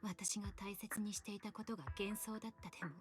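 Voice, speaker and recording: A woman's voice: mid-range voice, fairly even intonation, rapid-fire, faint music behind, lightly acted. An actress in a game voicing a wounded, solemn woman confronting someone. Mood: bitter, solemn. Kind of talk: Japanese game voice acting.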